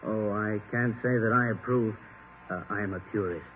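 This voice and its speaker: A man's voice: fairly low pitch, moderate pace, close to an everyday voice. Man playing a prickly, pedantic policeman, old radio. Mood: fussy, pedantic. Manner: formal, fussy, easily affronted. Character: prickly, pedantic policeman proud of his learning. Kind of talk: 1950s radio comedy acting. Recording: tinny, noisy 1950 radio recording.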